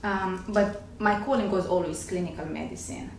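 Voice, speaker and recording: A woman's voice: lowish voice, normal speed, creaky. A middle-aged woman from Romania with a Romanian accent, a gastroenterologist guest on a talk show, explaining carefully with hesitant fillers. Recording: echoey room, distant mic, faint noise.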